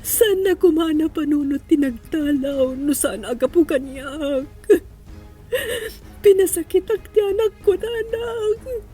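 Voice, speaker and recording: Woman acting a distraught, high-voiced pleading mother. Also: lively intonation, dark tone. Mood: distraught, guilty. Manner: tearful, pleading, emotionally drawn-out. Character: remorseful, distraught mother pleading for her child. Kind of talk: melodramatic audio drama acting. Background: music.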